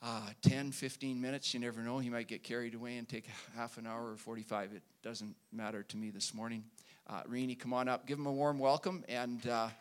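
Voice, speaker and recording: A man's voice: medium pitch, fairly quick, clear, resonant tone. Pastor guy in church, easygoing, rambles a bit with lots of uh and anyways. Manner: relaxed, rambling with fillers. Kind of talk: introducing a guest speaker. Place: church sanctuary.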